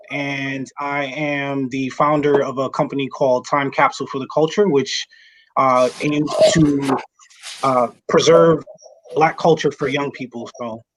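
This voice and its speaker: Casting: calm man from New York, company founder, mid-pitched, a little halting. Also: rough recording. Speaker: company founder, panel guest.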